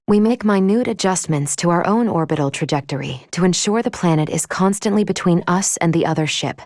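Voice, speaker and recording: A woman voicing a composed, logical android starship officer in a video game, speaking formally and precisely with a measured, clinical manner. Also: fairly low pitch, a little mellow, dry recording, clean studio sound, close to an everyday voice. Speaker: ship's operations officer. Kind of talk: scripted video game voice acting.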